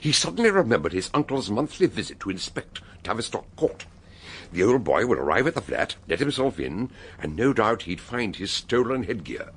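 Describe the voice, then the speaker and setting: An actor playing a shrewd older British detective in a radio drama, with an expansive, knowing, theatrical manner. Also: male voice, fairly low pitch, very animated, moderate pace, a bit bright, slightly rough, heavily acted.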